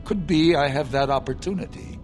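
An actor voicing a sly middle-aged male dwarf smuggler in a video game, making a wheedling sales pitch. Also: a little fast, a little mellow, close to an everyday voice. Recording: game audio with music behind.

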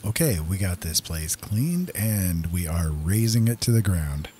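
A man's voice: low-pitched, lively intonation, measured pace, warm tone, slight background noise. A man giving casual gameplay commentary as the host of a gaming video, talking directly to viewers.

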